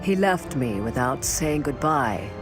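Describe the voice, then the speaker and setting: A battle-hardened soldier of a fantasy game, talks slowly and wistfully. Her restrained delivery has music playing behind it. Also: extremely low pitch, expressive.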